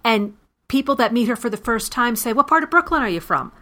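Brief: woman, New York accent, even-tempered conversationalist. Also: a little high, brisk. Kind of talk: casual chat.

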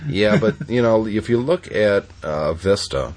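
Host, low man's voice, stuffy and congested, halting with lots of ums. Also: expressive, a little mellow. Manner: halting, many fillers and restarts. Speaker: podcast host. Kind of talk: casual podcast chat.

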